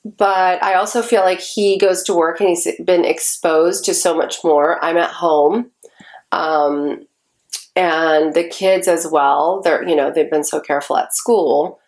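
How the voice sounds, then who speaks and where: A woman's voice: on the low side, fairly even intonation, unhurried, darkish tone. Chatty, congested woman vlogging. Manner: chatty and rambling, many ums. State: congested, stuffy nose. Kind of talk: casual chat to camera.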